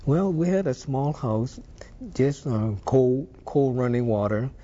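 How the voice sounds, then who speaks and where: An older man raised in Hawaii, telling stories about his past in an unhurried, thoughtful way, with some fillers. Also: medium pitch, lively intonation, warm tone, muffled recording. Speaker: interview guest. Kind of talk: reminiscing interview.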